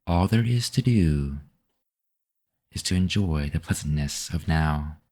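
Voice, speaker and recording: Very deep, slow-paced male meditation guide. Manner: calm, measured, soothing.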